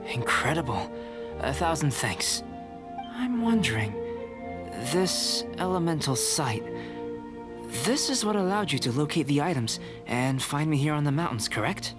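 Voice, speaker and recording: A man's voice: a little high, very animated, loud music behind the voice. Composed, scholarly male alchemist. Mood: intrigued, measured. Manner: measured, formal, articulate. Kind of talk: video game voice acting.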